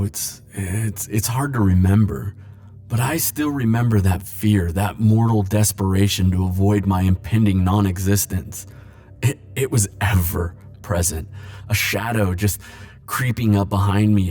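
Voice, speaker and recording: A man playing a lovesick, world-weary immortal in an intimate romantic audio roleplay, speaking haltingly and emotionally. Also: lowish voice, close to an everyday voice. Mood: wistful, confessional. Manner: halting, emotional, stammering confessions with soft wry asides.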